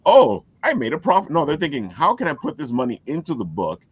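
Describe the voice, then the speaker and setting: Guy hosting a podcast, really animated voice, excitable, dry but kinda muffled audio. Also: on the high side, fairly quick, breathy edge, dry recording. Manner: excitable, talks to listeners as folks, laughs often. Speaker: podcast host. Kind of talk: casual interview chat. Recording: dull lo-fi call audio.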